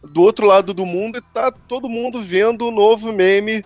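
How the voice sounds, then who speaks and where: A man's voice: high-pitched, moderate pace, no echo, muffled recording, soft background music. A man with a Brazilian Portuguese accent, co-hosting a casual podcast and explaining his views with long, reflective examples. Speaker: podcast co-host.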